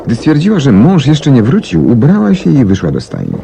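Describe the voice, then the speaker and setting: An actor playing a methodical, self-assured English detective in a 1970s Polish radio play, telling things in a measured, deliberate way with emphatic swings. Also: male voice, medium pitch, wildly swooping pitch, vocal fry, mellow. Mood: animated, explanatory. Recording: noisy old 1970s radio recording.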